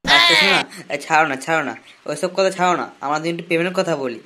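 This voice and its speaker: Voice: mid-range voice, big pitch swings, racing, pushed and bright. An actor voicing a broke, jobless young boyfriend in a comic cartoon, whiny, crude and pleading, often repeating words. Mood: coaxing.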